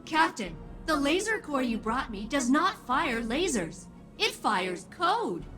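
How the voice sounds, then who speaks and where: A woman's voice: high voice, very animated, takes it slowly, exaggerated voice. A woman playing a matter-of-fact technician giving a briefing in a video game. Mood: pointed, explanatory. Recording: faint music under the voice.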